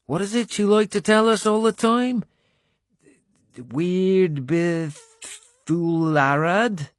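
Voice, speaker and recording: A man voicing a blunt, gruff Irish male warrior with an Irish accent, scolding and coarse. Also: sits high, takes it slowly, dry recording, clean studio sound, voice pushed up.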